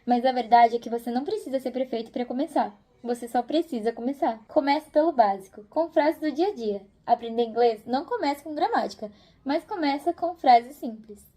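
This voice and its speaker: A woman's voice: sits high, fairly quick, dark tone. A young Brazilian woman chatting casually and encouragingly as she gives tips in a video. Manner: informal, encouraging. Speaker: host of a video channel.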